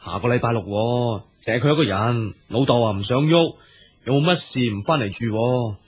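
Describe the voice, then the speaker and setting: An actor plays an anxious son-in-law in a Cantonese radio drama, with a Hong Kong accent. His mid-pitched voice is noticeably husky, and he fusses in a flustered, exclamatory way. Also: moderate pace, close to an everyday voice. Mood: anxious, coaxing.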